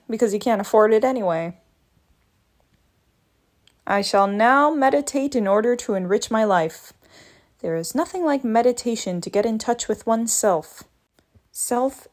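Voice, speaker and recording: A woman's voice: a little high, lightly acted. A woman reading aloud as a lazy, sarcastic male cartoon cat, with dry, wisecracking, grumbling lines. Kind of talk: storybook read-aloud.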